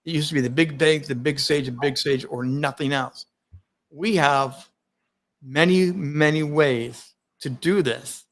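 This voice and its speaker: A man hosting a live-stream conversation, talking in an energetic, emphatic way, laughing often and speaking openly about his stutter. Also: medium pitch. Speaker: host.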